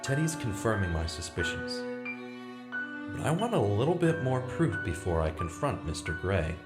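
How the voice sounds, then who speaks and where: A man's voice: on the low side, sing-song, normal speed. A man playing an earnest small-town journalist, narrating dramatically and confidingly in a vintage-style radio drama. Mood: grave, confiding. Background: prominent instrumental music.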